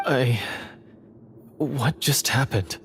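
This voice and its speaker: A man playing a troubled, bitter hunter's son in a video game, speaking in a dramatic, brooding and halting way. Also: mid-range voice, fairly bright tone, a little hiss or hum. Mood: dazed, confused. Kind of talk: video game character acting. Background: background music.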